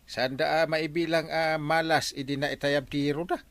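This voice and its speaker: A village captain in an Ilocano radio comedy drama. His voice sits a little high for a man, and he talks in a halting, official way with an Ilocano Filipino accent, padding his replies as he fields complaints. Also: level tone, fairly bright tone. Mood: explaining, businesslike.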